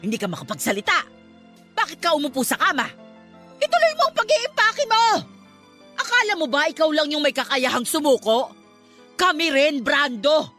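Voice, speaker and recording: A woman's voice: high voice, sing-song, moderate pace, pushed and bright, soft background music, exaggerated voice. A woman playing a stern but caring middle-aged religious aunt in an emphatic Tagalog radio drama. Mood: angry, scolding. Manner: emphatic, scolding then consoling.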